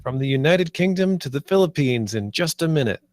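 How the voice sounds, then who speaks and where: A man's voice: on the high side, expressive, a little fast, darkish tone, dry recording, clean studio sound, close to an everyday voice. A man playing an earnest, idealistic theme park planner in a screenplay table read, a little hesitant. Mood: enthusiastic. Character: earnest, idealistic male theme park planner named Marty, nervous, visionary.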